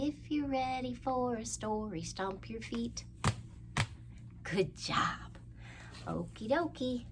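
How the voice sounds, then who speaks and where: A woman presenting a children's storytime, reading aloud in a sing-song, questioning way with playful pauses and hushed asides. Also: a little high. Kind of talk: animated read-aloud for young children. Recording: faint background noise.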